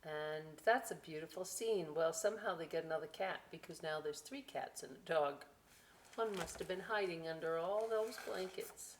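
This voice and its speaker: A woman hosting a children's library story time and craft demonstration, talking in a folksy, playful way with chatty asides to young viewers. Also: on the low side. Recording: echoey room, some background noise.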